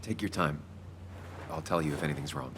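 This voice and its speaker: An actor playing a brooding, introspective man in his thirties, measured and sincere, in naturalistic video game drama. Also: a bass voice, background noise. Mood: reassuring.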